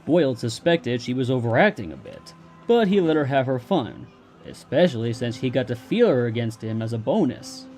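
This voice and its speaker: A male narrator reads a story in scripted prose. His voice is mellow and relaxed at a middling pitch, with faint music underneath. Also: normal speed.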